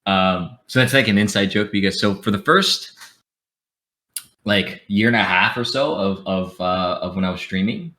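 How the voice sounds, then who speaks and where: A man's voice: low voice, moderate pace, pushed and bright. A young man, a podcast guest and streamer, tells rambling, self-deprecating stories over a headset on a video call from his home room. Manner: rambling, self-deprecating, often restarts sentences. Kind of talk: casual storytelling on a video call. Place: home room with gaming chair.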